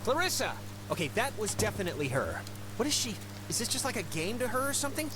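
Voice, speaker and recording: A man's voice: sits high, sing-song, quick pace, background noise. A young male actor with an American accent playing an awkward, easygoing, apologetic teenage stepbrother in a game. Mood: agitated. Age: young adult. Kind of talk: naturalistic game dialogue.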